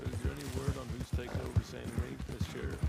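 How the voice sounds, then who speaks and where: A man in a roleplay game, talking in quick, dry remarks. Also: mid-range voice, level tone, creaky, background noise. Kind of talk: in-character roleplay chat. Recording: game horse sounds behind. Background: horse hooves from the game.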